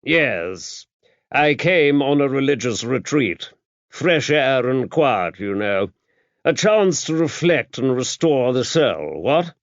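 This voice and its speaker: A man's voice: mid-range voice, very animated, slow, no room sound, dull audio, pitched above the performer's own voice. A man voicing a pompous, self-important older English lord with an upper-class accent and old-fashioned, drawling turns of phrase. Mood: stiff, chatty.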